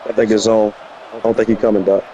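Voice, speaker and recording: A man's voice: fairly low pitch, flat delivery, fast, dark tone, slight background noise. A man chatting casually with a friend over game voice chat, on a dull lo-fi connection.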